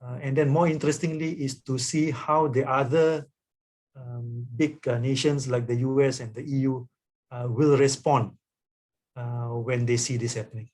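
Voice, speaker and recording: Man, guest author, webinar answer, explanatory, tags "right?", mid pitch. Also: fairly even intonation, moderate pace, a little mellow, poor audio. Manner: explanatory, often adds "right?". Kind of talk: webinar talk answering questions.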